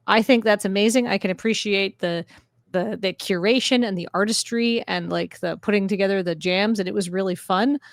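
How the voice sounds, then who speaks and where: A woman with a medium-pitched voice chats on a podcast, peppering her talk with "like" and poking fun at herself. The sound is dry and close to the mic. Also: brisk, dry recording. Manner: chatty, many "like" fillers, self-deprecating. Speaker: podcast co-host. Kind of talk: casual recap chat.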